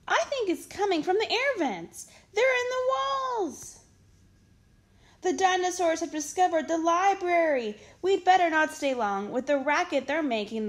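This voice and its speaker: A woman reading a children's picture book aloud in an expressive, dramatic storytelling manner. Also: high-pitched. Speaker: storytime reader.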